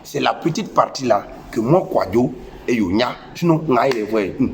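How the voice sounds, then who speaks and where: A young man speaking French with a West African accent and a head cold delivers a preachy, scolding lecture to parents. He hammers his points by repeating phrases, at an ordinary male pitch. A faint hiss of noise sits under the voice. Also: animated, normal speed. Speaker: social media commentator. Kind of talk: impassioned moralizing monologue.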